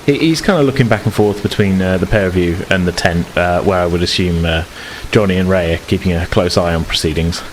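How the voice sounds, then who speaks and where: Deep-voiced male game master. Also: poor audio. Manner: halting, repeats words while thinking. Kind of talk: improvised narration of a tabletop roleplaying game. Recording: faint rain ambience noise.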